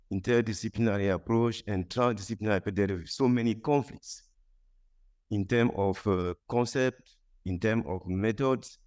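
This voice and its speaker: A man's voice: on the low side, darkish tone, clear, resonant tone. A man answering on a conference panel, hesitant, with many fillers and restarts.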